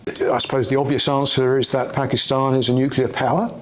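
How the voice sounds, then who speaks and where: A man's voice: medium pitch, little pitch movement, a little fast. A man moderating a conference, speaking off the cuff with fillers and repeated words. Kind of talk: unscripted remarks and moderating. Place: conference room in parliament.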